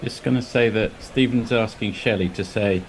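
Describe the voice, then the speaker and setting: Guy w lowish voice reading out listener msgs, dry jokes, faint hiss. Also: some chatter behind. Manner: quick, wry asides. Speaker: commentary team member reading listener messages.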